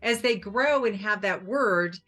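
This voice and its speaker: A middle-aged woman appearing as a parenting expert on a TV talk show, explaining her advice calmly and clearly. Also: medium pitch, measured pace, faint music behind. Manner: calm, explanatory, warm advice-giving.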